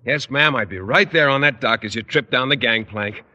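An actor playing a bashful, earnest American biology teacher who talks hesitantly and stammers, in 1950s radio sitcom style. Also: male voice, medium pitch, moderate pace, bright, forceful tone, in a large hall, lo-fi sound.

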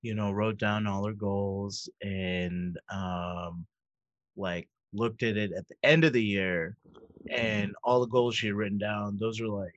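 A man's voice: on the low side, fairly even intonation, a little slow, dry recording, highs cut off. A man in his thirties in casual chat, rambling and correcting himself as he goes, laughing easily. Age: 30s.

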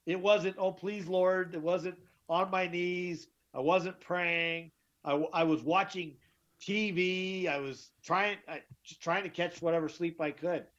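A middle-aged man with a deeper voice, telling his story as an interview guest, emphatic and often repeating himself. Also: steady tone, a little slow, fairly bright tone. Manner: emphatic, repeats and restarts words. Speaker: guest, author. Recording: dull lo-fi sound.

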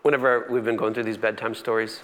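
A man's voice: on the low side, flat delivery, fast, fairly bright tone. A man preaching as a pastor in a conversational storytelling style, acting out dialogue and repeating phrases for emphasis.

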